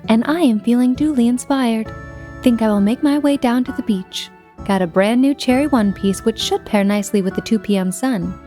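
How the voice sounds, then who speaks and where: Music plays behind a 1950s telephone operator acted by a woman telling a poetic story. She is dreamy and flirtatious, with a slightly high voice. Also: darkish tone, natural voice. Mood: playful, flirtatious. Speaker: narrator. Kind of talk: poetic scripted narration.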